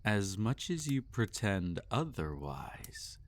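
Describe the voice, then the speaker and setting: A man playing a possessive, sadistic vampire master in an intimate roleplay audio, teasing and domineering, often slipping into breathy whispers. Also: on the low side, big pitch swings, slow. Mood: seductive, teasing.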